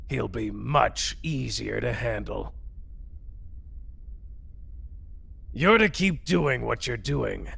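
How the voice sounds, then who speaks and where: A man voicing a cold, contemptuous elder official in a roleplay audio drama, commanding and menacing, with a gravelly, raspy voice. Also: medium pitch, bright, forceful tone, exaggerated voice. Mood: stern contempt.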